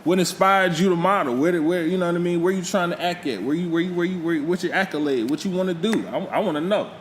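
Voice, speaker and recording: A man's voice: high voice, quick pace, roomy sound, slight background noise. A man hosting a casual hip-hop morning show interview in a loft, laid-back and slangy, often asking "you know what I mean?". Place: loft with big windows.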